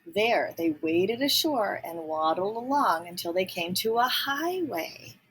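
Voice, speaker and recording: A woman reading a story aloud to young children, pausing to explain words and ask questions. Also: mid-range voice, animated, a bit bright, a little hiss or hum, rough recording. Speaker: picture book reader.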